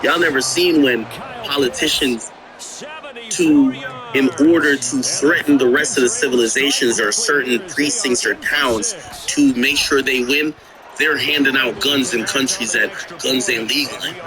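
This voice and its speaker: A man's voice: mid-range voice, a little slow, a crowd in the background, low-quality recording. A man from Jamaica ranting about politics in a blunt, profane way, often stammering and restarting his sentences. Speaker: streamer. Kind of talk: casual political rant.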